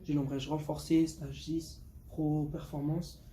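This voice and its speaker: A man's voice: on the high side, little pitch movement, a little slow, dark tone. A fifteen-year-old boy from Portugal chatting casually to viewers in a vlog, slangy and repeating words for emphasis. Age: 15, teenager. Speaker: vlogger showing motorbike. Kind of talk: casual unscripted vlog talk. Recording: clear background noise.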